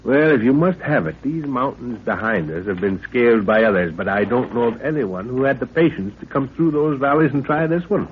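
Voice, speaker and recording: An old, thin, hissy radio recording of a man acting a brisk, curious professor leading an expedition. His delivery is hearty and commanding, with very lively intonation. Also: mid-range voice, close to an everyday voice. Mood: musing, assured. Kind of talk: 1930s radio adventure acting. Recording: tinny, noisy 1930s radio recording.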